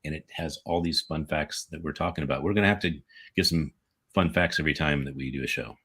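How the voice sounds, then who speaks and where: A rambling American man with a very deep, husky voice. He chats and jokes casually as a co-host and often corrects himself mid-sentence. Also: fast talker, fairly bright tone. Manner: rambling, jokey, self-correcting. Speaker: podcast co-host. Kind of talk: casual chat.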